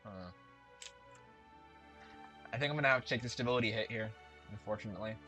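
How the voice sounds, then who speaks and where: A man with a lowish voice talks through his strategy game move by move, chatty and thinking aloud. He often corrects himself mid-sentence. Game music plays clearly behind him, with keys tapping. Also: fairly quick, pushed and bright. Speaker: game commentator. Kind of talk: casual let's-play commentary. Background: game music and keyboard typing.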